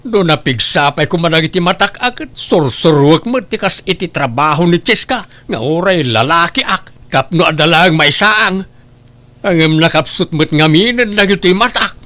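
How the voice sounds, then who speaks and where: A man's voice: on the high side, lively intonation, moderate pace, sharp tone. A man with an Ilocano accent playing a nagging, grumbling older father in a comedy drama. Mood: scolding. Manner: complaining, scolding. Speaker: drama actor.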